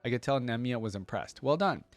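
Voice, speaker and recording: Fast-talking man, dry close sound. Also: mid-range voice, expressive, no room sound, soft background music. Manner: informal, conversational. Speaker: host of a fan reaction video. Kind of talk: casual reaction commentary mixed with reading story text aloud.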